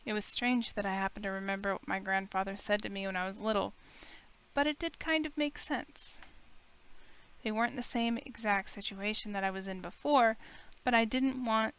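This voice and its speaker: A woman's voice: medium pitch, moderate pace, fairly bright tone, smooth. A woman reading a visual novel aloud in the first person, acting out the heroine's lines.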